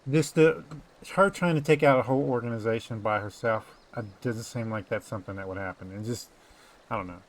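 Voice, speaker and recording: A man's voice: lowish voice, fairly quick, slight background noise. A man in casual, colloquial gaming talk, making his points insistently with some mild swearing. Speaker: gaming channel host. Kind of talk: casual gameplay chat about story.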